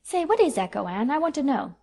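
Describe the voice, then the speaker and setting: Woman narrator putting on a young boy: high, bouncy, eager chatter. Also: sing-song, fast talker, creaky, darkish tone, full character voice. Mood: wheedling. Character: excitable chatty little boy.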